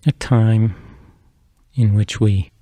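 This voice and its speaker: A man guiding a meditation with slow, measured phrases, long pauses and a soft, low, slightly husky voice. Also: steady tone, no room sound. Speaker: meditation guide. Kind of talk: slow guided meditation narration.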